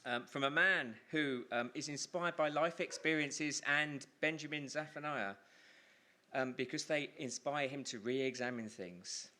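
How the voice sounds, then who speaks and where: A man's voice: mid-range voice, a little slow, sharp tone. A man compering a live storytelling event, introducing acts with emphatic, repeated phrases.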